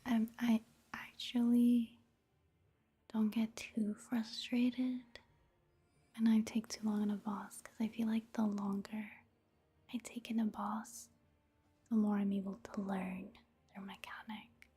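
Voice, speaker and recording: A woman gives ASMR game commentary in a very breathy, whispery voice. She takes her time, murmuring gently and unhurriedly in an even, mellow tone. The delivery is soft and rambling throughout. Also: medium pitch, slow, pauses often. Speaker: ASMR gaming video host.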